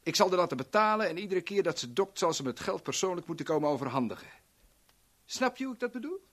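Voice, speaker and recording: A sadistic villain from a 1970s radio drama. His fairly high voice swings theatrically up and down with a bright edge. He sneers and taunts, relishing each word. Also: big pitch swings, fast talker, full character voice. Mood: menacing, leering. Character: sadistic, taunting male villain gloating as a blackmailer.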